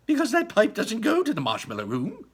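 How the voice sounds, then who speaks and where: A man's voice: sits high, huge pitch swings, a little fast, slightly rough, pitched above the performer's own voice, exaggerated voice. A man voicing a breezy, eccentric chocolate factory owner from a storybook, exclaiming and reassuring. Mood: excited.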